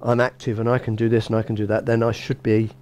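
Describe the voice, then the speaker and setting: Middle-aged bishop, soft mellow voice, chatty sermon, lots of "you know" and restarts. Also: male voice, fairly low pitch, flat delivery, fast talker. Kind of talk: informal sermon. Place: small church with a lectern.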